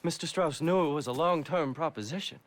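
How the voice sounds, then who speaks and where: A man's voice: fairly high pitch, brisk, natural voice. An actor playing a desperate frontier fugitive, a polite and earnest American man who pleads deferentially, in a dramatic video game. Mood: uneasy, pleading.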